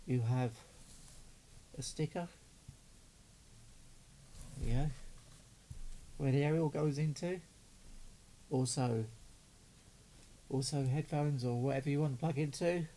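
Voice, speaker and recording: A man's voice: medium pitch, steady tone, slow pace, long pauses, mellow, poor audio. A man giving casual, doting unboxing commentary as a hobbyist, chatting and muttering to himself as he works. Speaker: radio hobbyist vlogger.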